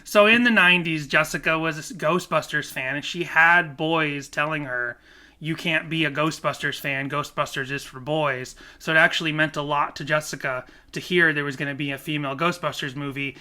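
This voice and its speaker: A man co-hosting a podcast in casual chat, animated and laughing often, with rambling restarts. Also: on the high side, normal speed. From Korea. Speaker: podcast co-host.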